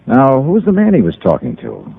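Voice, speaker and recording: An actor playing a wry, probing insurance investigator in a 1950s radio drama, dry and questioning. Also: male voice, medium pitch, huge pitch swings, moderate pace, vocal fry, husky, exaggerated voice. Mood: skeptical. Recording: lo-fi old radio broadcast.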